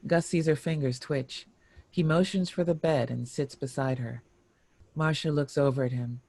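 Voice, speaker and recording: A man reading scripted screenplay stage directions in a measured, descriptive narration. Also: fairly high pitch, fairly even intonation, dark tone, no echo.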